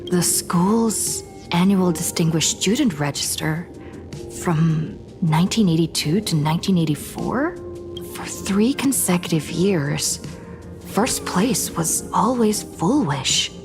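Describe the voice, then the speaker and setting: A woman's voice: on the low side, animated, takes it slowly, music in the background. An actress playing a cool, observant young woman in a video game, dry and matter-of-fact. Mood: dry, pensive.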